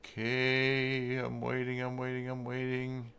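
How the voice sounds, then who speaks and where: A man hosting a casual live tech tutorial, thinking aloud in a halting way and muttering to himself as he works. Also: medium pitch, monotone, a bit bright, smooth. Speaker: live stream host giving web tutorials.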